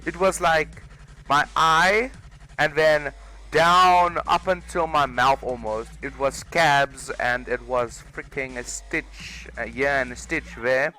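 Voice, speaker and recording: A man's voice: on the high side, slow, bright, forceful tone, low-quality recording. A young man, telling a personal story casually with exaggerated comic emphasis, over music. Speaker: video creator.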